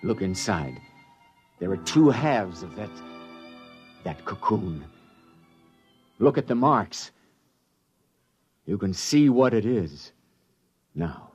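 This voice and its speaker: A man's voice: lowish voice, big pitch swings, takes it slowly, long pauses, darkish tone, breathy edge, soft background music, heavily acted. Dramatic, commanding spaceman. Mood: grave, urgent. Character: commanding male space expedition crewman, grimly practical. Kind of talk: 1950s radio drama acting.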